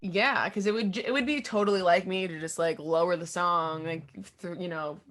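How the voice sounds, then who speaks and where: Low-voiced young woman chatting candidly. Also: fairly even intonation, normal speed, fairly bright tone. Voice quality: low, deep voice. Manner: candid and informal, thinking aloud in fragments, often quoting own thoughts. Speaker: singer-songwriter being interviewed. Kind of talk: casual interview chat.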